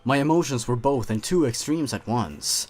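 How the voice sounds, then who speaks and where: A man's voice: mid-range voice, lively intonation, normal speed, a little mellow. A man narrating scripted horror stories in a suspenseful, theatrical way.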